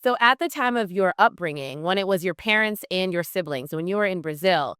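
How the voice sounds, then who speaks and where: A woman's voice: fairly low pitch, lively intonation, brisk, a bit bright, clean tone, clean studio sound. A woman hosting a conversational podcast interview, encouraging and often echoing what her guest says. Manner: encouraging, echoes guest's answers.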